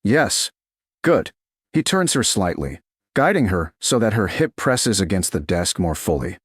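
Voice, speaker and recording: A man narrating a scripted romance story in a measured, deliberate, dramatic way, phrase by short phrase. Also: low-pitched, big pitch swings, husky, no room sound, studio quality. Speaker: audiobook narrator.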